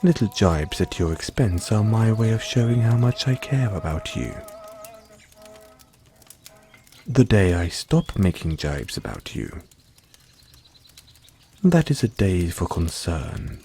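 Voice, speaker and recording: Man acting deep-voiced, proper British butler. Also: very animated, mellow, husky, full character voice. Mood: fondly teasing. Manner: formal, measured, deferential. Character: proper British butler, wry, devoted, gently chiding. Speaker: butler in audio roleplay. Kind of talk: scripted roleplay, intimate second-person address.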